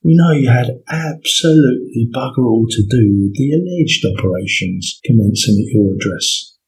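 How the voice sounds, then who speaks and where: An actor playing a suave police detective in his fifties, soft-spoken and reassuring, in an audio drama. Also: mid-range voice, expressive, normal speed, gravelly, rough recording. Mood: gentle, reassuring. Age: 50s.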